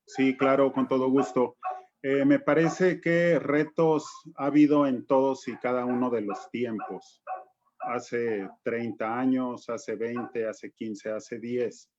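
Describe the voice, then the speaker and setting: A middle-aged man takes his turn in a panel discussion, speaking very slowly and deliberately. His voice sits at a medium pitch and he phrases things like a storyteller. The audio is dull and lo-fi. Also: fairly even intonation, a crowd in the background. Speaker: panelist and reading promoter.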